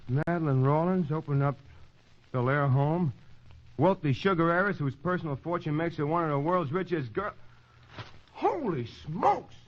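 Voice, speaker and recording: A man's voice: fairly high pitch, sing-song, normal speed. An American actor playing a cynical, slangy small-time hustler who narrates his story in a vintage radio crime drama. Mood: excited. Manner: slangy, tough-talking. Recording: muffled old radio recording, music behind.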